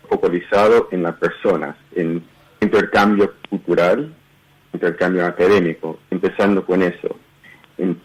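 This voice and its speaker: A man with an English-speaking Canadian accent, answering interview questions in a measured way and often repeating words. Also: on the low side, poor audio. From Uruguay and Canada. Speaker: guest researcher. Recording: radio broadcast audio.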